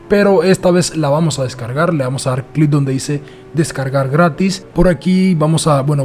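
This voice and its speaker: A man narrating a casual software tutorial in a chatty, repetitive way. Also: fairly high pitch. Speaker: tutorial host.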